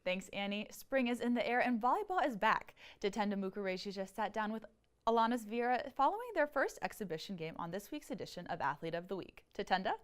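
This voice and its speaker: A young woman anchoring a student sports show with smooth scripted delivery. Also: mid-range voice, expressive, fast talker. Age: young adult. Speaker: studio anchor. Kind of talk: scripted newscast. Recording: music bed under voice.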